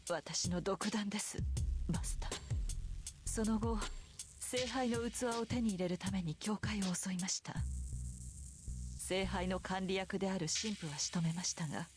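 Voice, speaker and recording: A woman's voice: mid-range voice, fairly quick, lightly acted. An actress playing a devoted, tense sorceress servant in a Japanese visual novel, speaking formally and deferentially to her master. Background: background music.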